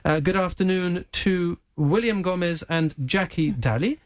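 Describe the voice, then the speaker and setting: Male radio presenter, Gibraltarian accent, fairly high voice, dry close studio sound, casual interviewing. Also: unhurried, no room sound. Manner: drops Spanish interjections into English.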